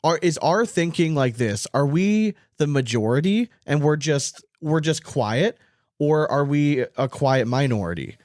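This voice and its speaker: Opinionated man, higher voice, close dry sound. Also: lively intonation, a little slow, a little mellow, no room sound. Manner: opinionated, frequent swearing, emphatic. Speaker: podcast host. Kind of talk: casual sports podcast chat.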